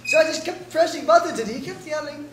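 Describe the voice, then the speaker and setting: A woman's voice: sits high, animated, fast, reverberant. A young woman improvising a comic story in a giggly, bewildered manner. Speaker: improv player.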